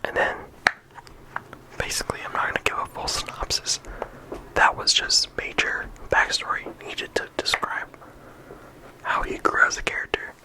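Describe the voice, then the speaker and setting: An ASMR creator whispering softly throughout, talking casually with frequent ums and likes into a close microphone. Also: low-quality recording. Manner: soft unvoiced whisper throughout. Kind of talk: whispered ASMR game discussion.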